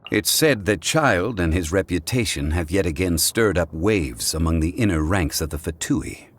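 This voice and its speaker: An actor voicing a calm, ancient, scholarly male god in a video game, speaking in a measured, formal and eloquent way. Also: sits low, breathy edge. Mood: calm, conversational. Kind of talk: scripted video game character voice lines.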